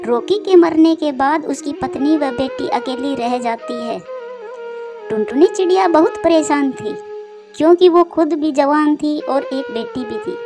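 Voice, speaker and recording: A woman's voice: high-pitched, lively intonation, unhurried. A woman reading scripted narration for a cartoon moral story. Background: clear background music.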